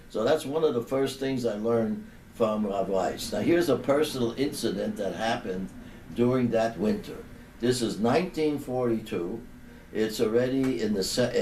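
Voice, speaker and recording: A man over eighty, a rabbi reminiscing in a deliberate, halting way with pauses between phrases. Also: medium pitch, dark tone. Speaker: rabbi giving a personal remembrance. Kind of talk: unhurried reminiscing tribute talk. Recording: roomy, distant microphone, background noise.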